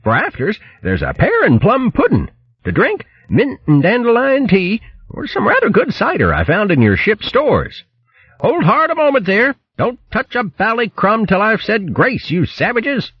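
A blustery posh hare. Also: male voice, high voice, wildly swooping pitch, moderate pace, slightly rough, dry recording, lo-fi sound, pitched above the performer's own voice, full character voice. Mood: jolly. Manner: posh bluster, "chaps", "what?", "jolly", "bally". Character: blustery upper-crust hare, male, hearty glutton.

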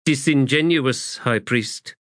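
Guy doing a stern army commander lady, higher pitched, clipped and formal, audiobook. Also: slow pace, a bit bright, no room sound, clean studio sound. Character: stern, commanding female military leader.

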